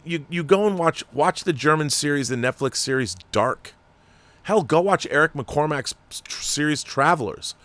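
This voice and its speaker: A man hosts a commentary show and delivers emphatic, critical opinions, often restarting his sentences as he goes. His voice sits at an ordinary pitch and is recorded close with almost no room sound. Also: a bit bright, dry recording. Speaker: host reading viewer letters.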